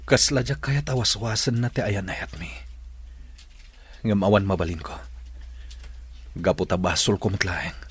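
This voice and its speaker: A man's voice: lowish voice, unhurried, pauses often, husky. An actor playing an apologetic, remorseful young man in a radio drama. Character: apologetic young man Roman, torn between two women. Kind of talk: Ilocano radio drama acting.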